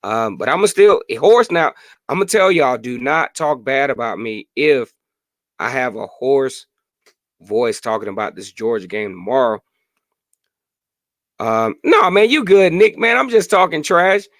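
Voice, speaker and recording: A hoarse man in his late thirties hosting a casual sports podcast live stream, chatting freely and answering viewer comments. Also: medium pitch, expressive, unhurried, a bit bright, no echo, studio quality. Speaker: podcast host.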